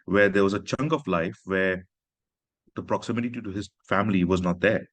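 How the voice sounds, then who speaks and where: A man's voice: low-pitched, breathy edge, no room sound. A man from Pakistan, an author speaking earnestly in a reflective conversation. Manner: earnest, measured, personal storytelling.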